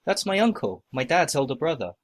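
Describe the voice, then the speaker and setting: A man acting out short scripted everyday dialogues for a listening test, with plain, expressive conversational reading. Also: on the high side, brisk.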